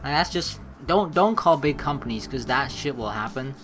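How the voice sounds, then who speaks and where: A man's voice: medium pitch, lively intonation, moderate pace, fairly bright tone. A man reading a game's story aloud and commenting on it in a casual, slangy way, with game music behind him. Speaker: let's play host.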